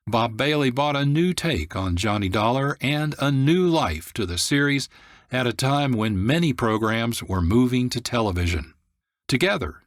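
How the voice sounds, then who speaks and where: Male host, scripted radio narration, medium pitch, dry studio sound. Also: no room sound. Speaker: host and producer.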